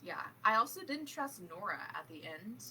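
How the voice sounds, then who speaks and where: A woman's voice: medium pitch, very animated, moderate pace, sharp tone. A woman chatting casually in a group book discussion, speaking in loose, hesitant phrases full of "like". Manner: hesitant, filler-heavy phrasing with frequent "like". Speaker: book club participant. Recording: distant mic, faint background noise.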